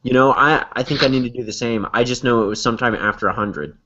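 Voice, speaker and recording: A man co-hosting a casual podcast, measured and hesitant, with many fillers. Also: medium pitch, pushed and bright, a little hiss or hum. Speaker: podcast co-host. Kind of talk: casual chat. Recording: lo-fi podcast audio.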